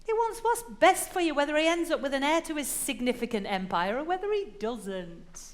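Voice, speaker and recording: A kindly, reassuring matron in a stage musical. Her voice is high and swings up and down with lots of animation. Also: big pitch swings, brisk. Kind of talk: stage drama dialogue.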